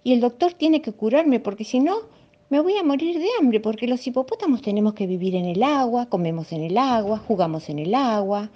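A woman's voice: on the high side, animated, fairly quick, voice pushed up. A woman voicing a big hippo in a children's story, speaking in a raised, emphatic voice. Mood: worried. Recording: dull lo-fi sound.

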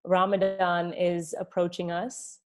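A woman in her late twenties, from Egypt and raised in New York, talks slowly in a lowish voice. She is candid and reflective and often says "like" while she thinks. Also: level tone. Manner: candid, reflective, with many "like" fillers. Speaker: interview guest. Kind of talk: casual conversation.

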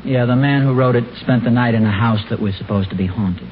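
A man's voice: mid-range voice, a little fast, a little hiss or hum, natural voice. An actor playing a flustered, easily spooked suburban father in a stammering, exasperated 1940s radio sitcom style. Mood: absorbed. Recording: muffled old radio broadcast, music behind.

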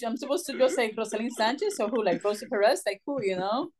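Animated woman from Puerto Rico. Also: a little high, quick pace. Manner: animated, acts out quoted voices. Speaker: guest, social worker and author. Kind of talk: conversational interview storytelling.

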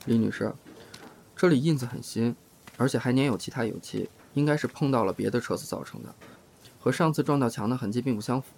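A man's voice: medium pitch, fast, gravelly, darkish tone, slight background noise, natural voice. A man playing a reserved, aloof young insurance adjuster with a restrained, formal manner in an audio drama. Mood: polite, firm. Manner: restrained, formal, matter-of-fact.

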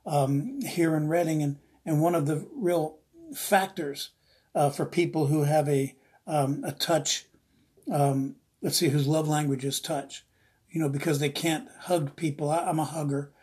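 A preacher from the US East Coast with a husky, slightly rough voice, teaching informally from the Bible in an earnest, rambling way and repeating phrases for emphasis. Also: mid-range voice, steady tone, measured pace, darkish tone.

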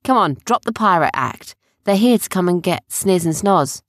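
A sensible young girl from a storybook, acted by a woman, friendly and matter-of-fact. Her speech is animated, with wide pitch movement. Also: normal speed, no echo, studio quality, close to an everyday voice. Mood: firm.

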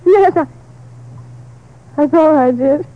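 A woman playing a frightened, fragile rancher's wife, pleading and halting in 1950s radio melodrama style. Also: sits high. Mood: distraught, confused. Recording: dull old radio recording.

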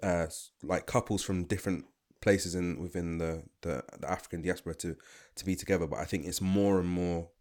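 A man's voice: sits low, steady tone, fairly quick, darkish tone. A young man with a London accent, talking casually and thoughtfully on a podcast, often restarting his sentences. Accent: London English. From London, England. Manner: thoughtful, rambling with restarts and asides. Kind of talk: casual chat.

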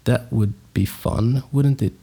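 Mellow, laid-back man. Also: medium pitch, slow pace, creaky, slightly rough. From Congo, raised in Sweden. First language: Swedish. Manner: relaxed, rambling, many fillers and restarts. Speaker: podcast host, music producer. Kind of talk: casual solo podcast intro.

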